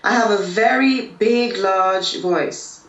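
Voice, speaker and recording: A woman's voice: mid-range voice, takes it slowly, muffled recording. A woman, a singer, chatting casually in an interview and often tagging on "aren't you?" or "wasn't it?". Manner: relaxed, chatty, tags questions. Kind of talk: casual chat. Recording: roomy, distant mic, faint noise.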